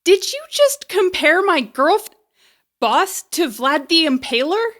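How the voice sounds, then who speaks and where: A woman's voice: very high-pitched, big pitch swings, takes it slowly, fairly bright tone, exaggerated voice. A woman in an audio drama playing a stubborn, flippant, loyal repairperson with a casual, wry, defiant way of talking. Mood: indignant.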